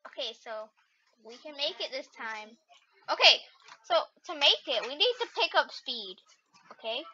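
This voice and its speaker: A very high-pitched, giggly gamer chats casually during play. The voice is bright and excitable, swinging up and down, caught on a slightly muffled mic. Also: normal speed. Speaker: gamer playing with a friend. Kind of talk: casual gameplay chat. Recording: muffled mic with background noise.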